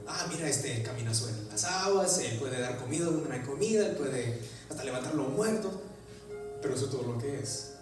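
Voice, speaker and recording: A man whose first language is English, preaching an animated sermon in a church, with an English-speaking accent and frequent restarts. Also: mid-range voice. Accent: English-speaking accent in Spanish. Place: church sanctuary. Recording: echoey church hall, distant mic, music behind.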